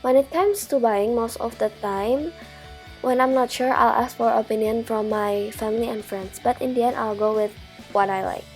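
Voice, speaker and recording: A woman's voice: a little high, moderate pace, soft background music. A young woman from Indonesia, a student, reading her video journal aloud in a plain, careful way with a few slips. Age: young adult.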